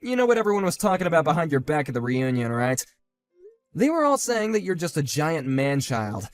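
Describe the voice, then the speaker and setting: A man voicing a calm, sardonic grown man who talks in a level, dry and cutting way, in a one-man voiced drama. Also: on the high side, brisk, smooth, faint music behind.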